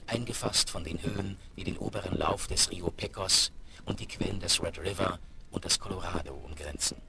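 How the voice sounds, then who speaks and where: A man narrating a German western radio play in a grave, deliberate, vivid storytelling style. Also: sits low, gravelly, heavy background noise. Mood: grave, suspenseful. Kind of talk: dramatic scripted story narration. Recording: 1970s vinyl radio play. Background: loud music.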